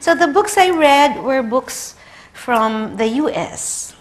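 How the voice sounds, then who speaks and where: Filipina woman, 30s, Tagalog native, measured pace, keynote speaker telling personal anecdotes with gentle humor. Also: high-pitched, expressive. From Philippines. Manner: warm, personal storytelling with light humor. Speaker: conference speaker, librarian. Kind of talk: conference lecture with slides.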